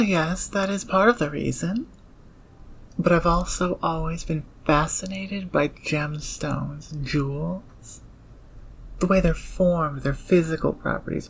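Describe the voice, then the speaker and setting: Someone acting nerdy inventor thief, precise n awkward, creaky voice, background ambience noise in an audio drama. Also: medium pitch, a bit bright, poor audio. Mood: fascinated.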